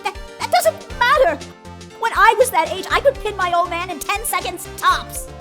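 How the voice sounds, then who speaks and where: A woman's voice: very high-pitched, lively intonation, normal speed, bright, forceful tone, soft background music, full character voice. A woman voicing a cantankerous old female security guard in a courtroom game, scolding and blustering with indignant stammers. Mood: flustered, defensive. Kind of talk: dubbed courtroom comedy game acting.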